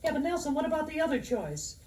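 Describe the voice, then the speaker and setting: A man voicing a wisecracking boy ventriloquist's dummy in a high falsetto with cheeky comebacks, on a scripted instructional record. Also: very animated, fast, darkish tone, recorded from a distance.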